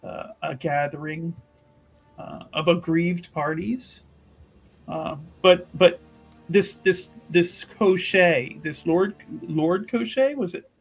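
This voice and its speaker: A man acting as an earnest, wry investigator in a fantasy roleplaying game. His voice is husky and a little high, and he muses haltingly, repeating himself as he thinks. Faint music sits behind him. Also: heavily acted. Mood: puzzled, probing. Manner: hesitant, musing, repeats and circles back. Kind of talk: tabletop roleplay, improvised in character.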